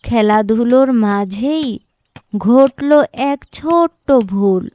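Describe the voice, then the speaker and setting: High-pitched, slow woman storyteller. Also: animated, mellow, slight background noise. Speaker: storyteller narrator. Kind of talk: scripted children's moral story narration. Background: background music.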